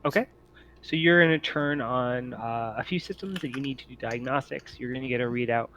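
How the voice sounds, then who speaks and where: A man's voice: mid-range voice, brisk, bright, forceful tone, a little hiss or hum. A man narrating a tabletop role-playing game as game master, explaining things informally.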